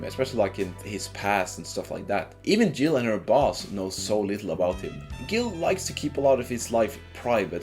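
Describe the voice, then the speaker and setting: A man's voice: mid-range voice, darkish tone. A man narrating a casual scripted video game review in an informal, sweary way.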